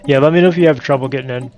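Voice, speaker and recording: A man's voice: medium pitch, creaky, a little mellow. A man with a stuffed-up nose from allergies, casually thinking aloud while playing a multiplayer strategy game. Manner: thinking aloud, muttering to himself. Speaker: game streamer. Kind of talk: casual multiplayer gameplay commentary.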